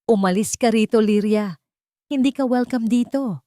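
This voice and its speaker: A woman's voice: on the high side, moderate pace, mellow, no echo, voice pushed up, natural voice. A domineering aunt in a family melodrama, put on by a woman narrator. She is hostile and imperious, throwing accusations and orders in a dry, close recording. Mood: angry, shouting.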